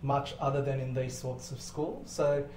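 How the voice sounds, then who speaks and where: A man's voice: mid-range voice, steady tone, normal speed, darkish tone. Articulate man telling stories in an echoey room. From born in Zambia, lived in Botswana as a child, then grew up in Australia. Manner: explanatory, articulate, swears casually. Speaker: podcast guest. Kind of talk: casual interview storytelling. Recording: roomy echo, clear background noise.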